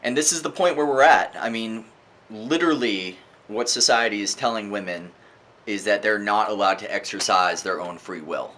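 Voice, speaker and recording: Male vlogger, fairly low pitch, bright forceful edge; emphatic, conversational opinion delivery over faint background noise. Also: moderate pace. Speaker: book reviewer, vlogger. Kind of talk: informal opinionated book review monologue.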